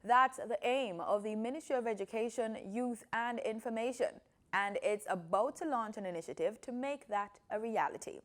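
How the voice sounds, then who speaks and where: A woman's voice: a little high, moderate pace. A Jamaican woman reading scripted news as an anchor.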